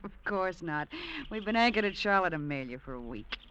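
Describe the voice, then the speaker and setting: A woman's voice: lowish voice, lively intonation, moderate pace, a bit bright. An actress playing a coy woman visiting her lover in an old-time radio drama. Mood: coy, teasing. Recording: dull vintage radio, faint noise.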